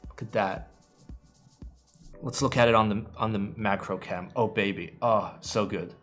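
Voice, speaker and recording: A man's voice: on the low side, fairly even intonation, unhurried, a bit bright, soft background music. A man in young adulthood, casually chatting with his live-stream viewers, rambling and swearing freely with playful groans. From United States. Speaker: keyboard streamer.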